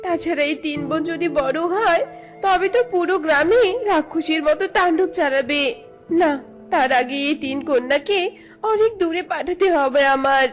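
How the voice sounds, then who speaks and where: Woman playing a high-voiced anguished village mother. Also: moderate pace, soft background music, natural voice. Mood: worried. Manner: plaintive, dramatic, lamenting. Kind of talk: cartoon fairy-tale voice acting.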